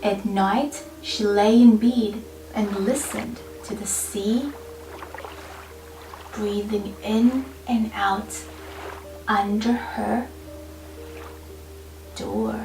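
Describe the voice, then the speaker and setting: A woman reading a children's picture book aloud expressively, with deliberate, dramatic phrasing and pauses. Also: mid-range voice, steady tone, darkish tone. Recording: roomy, distant mic, faint music behind. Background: faint background music.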